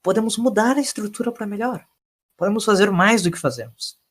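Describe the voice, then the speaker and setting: Guy doing a gothic vampire lord, weary idealist, pleading n exasperated, highish pitch, audio story. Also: fairly quick. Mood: hopeful, pleading.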